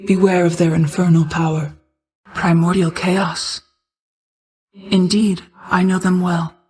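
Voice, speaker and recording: A woman voicing a regal, ageless, motherly goddess of night in a video game, speaking in formal, measured, archaic phrasing. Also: fairly low pitch, level tone, a little mellow. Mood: solemn, guarded. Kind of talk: scripted video game voice acting.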